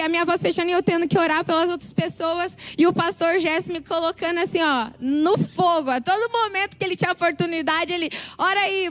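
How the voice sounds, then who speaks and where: A woman preaches with fervor in a Brazilian Portuguese accent, her voice set very high. She stacks up emphatic, repeated phrases, and her voice sounds slightly hoarse and worn. Soft music plays faintly behind her. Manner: impassioned, emphatic repetition. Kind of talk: sermon testimony.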